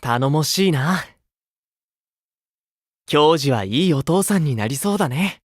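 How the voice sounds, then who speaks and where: A man voicing a gentle, kindly older-brotherly idol with a romantic streak in an anime game, speaking softly. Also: a little high, lively intonation, dry recording, clean studio sound. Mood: warm, teasing. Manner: soft, kindly, unhurried.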